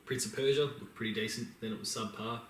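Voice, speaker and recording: A man's voice: lowish voice, steady tone, quick pace. An Australian man hosting a podcast, chatting casually about films and games. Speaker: podcast host. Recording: roomy echo, distant mic, faint background noise.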